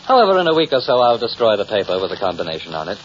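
Courtly smooth-talking gentleman, low pitch, animated intonation, formal diction, pompous, 1940s radio drama, some hiss. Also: very fast. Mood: self-assured. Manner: formal, flowery, over-polite diction. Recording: muffled, hissy old radio recording.

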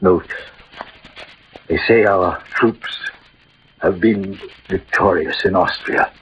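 An actor playing an ailing, gallant middle-aged swordsman-poet who hides his love, in a halting, theatrical old radio drama style. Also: deep voice. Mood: wry, failing. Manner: theatrical, halting, poetic delivery. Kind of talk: 1940s-50s radio drama acting. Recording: muffled, noisy old radio recording.

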